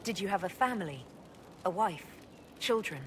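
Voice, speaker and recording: An actress playing a composed, authoritative leader in a fantasy video game, speaking in a formal, firm and measured way. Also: female voice, mid-range voice, animated, fairly bright tone, a little hiss or hum, natural voice. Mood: probing. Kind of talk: dramatic video game acting.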